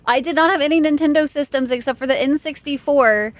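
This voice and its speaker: A young woman from Texas streaming a game, chatting casually with her viewers, self-deprecating and quick to laugh. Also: high-pitched, no room sound. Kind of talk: casual chat with stream chat while gaming.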